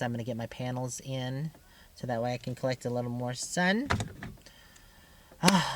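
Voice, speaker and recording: An American woman chatting casually and informally as she vlogs from her motorhome, often talking to herself. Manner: chatty, informal, talks to self while driving. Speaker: RV travel vlogger and driver. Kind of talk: casual unscripted vlog chat. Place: inside a motorhome, outdoors on the road. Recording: noisy vehicle rumble and ambience.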